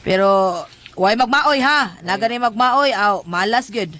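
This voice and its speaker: A woman's voice: on the high side, lively intonation, a little slow, a bit bright. A woman playing a tough, brash tomboyish wife with blunt, slangy, swaggering talk in a comedic radio drama.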